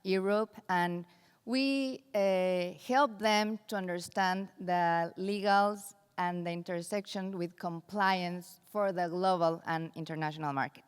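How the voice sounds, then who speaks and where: Mexican lady lawyer on a panel, smooth lowish voice, big hall echo. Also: steady tone. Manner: measured, with hesitant fillers. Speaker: international attorney. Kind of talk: conference panel remarks. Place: large conference hall. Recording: conference hall reverb.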